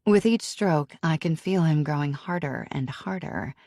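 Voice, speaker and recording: A low-voiced woman reads a novel aloud in the first person. She keeps an intimate, even storytelling manner throughout. The recording is close and dry. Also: a little slow, dry recording. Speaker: audiobook narrator.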